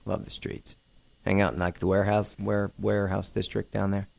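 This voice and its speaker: A man hosting an interview show, chatting casually and joking with quick asides. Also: on the low side, flat delivery, creaky, a little mellow.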